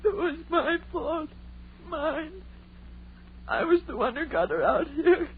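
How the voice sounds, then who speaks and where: A haunted, fearful young woman in a vintage radio horror drama. Her very high voice rises and falls dramatically and pleads at a measured pace. The sound is thin and tinny. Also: very animated, full character voice. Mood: sobbing, guilt-stricken. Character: fearful, guilt-ridden young educated woman haunted by evil. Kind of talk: 1940s radio horror acting. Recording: tinny old radio recording with hum.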